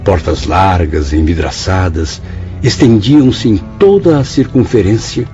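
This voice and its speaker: A man's voice: deep voice, a little slow, mellow. An actor playing a troubled spirit who narrates his own story, speaking in an earnest, solemn, contemplative way in a radio drama. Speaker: narrator and lead character. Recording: dull lo-fi audio with music bed.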